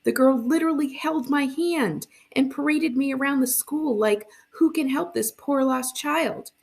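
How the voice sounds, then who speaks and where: A woman's voice: high-pitched, unhurried, vocal fry, voice pushed up. A woman voicing an embarrassed, sarcastic middle-school girl in a storybook reading, exasperated and emphatic. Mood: indignant.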